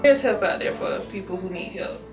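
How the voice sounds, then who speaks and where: A woman's voice: medium pitch, a little fast. A young woman giving an earnest personal testimonial as a patient, repeating words for emphasis. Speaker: clinic patient. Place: small wood-paneled room indoors. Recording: roomy, distant mic, music underneath. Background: background music.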